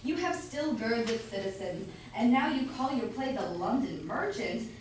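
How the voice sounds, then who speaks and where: A woman's voice: fairly high pitch, lively intonation, moderate pace, close to an everyday voice. A woman playing a bossy, meddling citizen grocer and proud husband in an amateur staged reading of an old comedy, blustering and emphatic. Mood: indignant, demanding. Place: indoor room at a college, far from mic. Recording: distant echoey room, faint noise.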